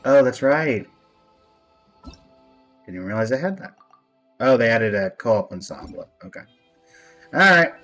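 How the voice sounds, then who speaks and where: A man's voice: medium pitch, sing-song, unhurried, pauses often, a bit bright. A man giving casual live-stream game commentary as the host, with a dry, resigned way of talking. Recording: music playing behind the voice. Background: background music.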